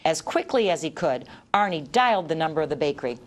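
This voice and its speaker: A woman narrating a children's story as an expressive read-aloud. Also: deep voice, fast talker. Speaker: storybook reader.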